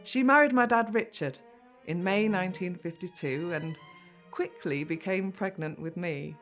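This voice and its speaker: Actress doing woman narrator, lowish voice, fond family storytelling for an audio drama, music behind. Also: a little slow, lightly acted. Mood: reflective, fond. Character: woman narrator fondly recalling her mum's life.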